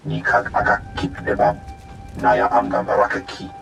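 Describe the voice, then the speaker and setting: Sci-fi game alien analyst: guttural, heavy gravel, invented tongue, echoey. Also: male voice, lowish voice, completely flat delivery, normal speed, a bit bright, slight background noise. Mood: matter-of-fact. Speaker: intelligence analyst. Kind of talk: video game character acting.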